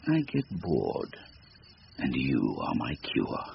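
Devilish supernatural bargainer, bottomless gravelly voice, theatrical taunting delivery, radio drama, muffled noisy audio. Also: male voice, extremely low pitch, huge pitch swings, heavily acted. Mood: amused, disdainful. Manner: deliberate, theatrical, taunting formality. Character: sinister supernatural stranger, silky, devilish bargainer, bored and mocking.

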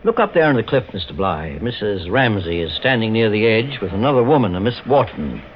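A man playing a calm, courteous, authoritative private investigator in a mid-century radio drama, speaking in measured, deliberate phrases. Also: fairly low pitch. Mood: grave. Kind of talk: 1940s-50s radio drama acting. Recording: muffled old radio recording with hiss.

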